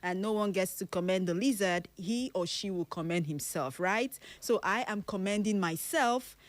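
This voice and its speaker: A woman's voice: medium pitch, moderate pace, dry recording. A Nigerian woman hosting a radio health show, chatty and jokey, mixing casual banter with news updates. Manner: chatty, jokey, self-praising. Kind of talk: lively radio talk and news reading. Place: radio studio.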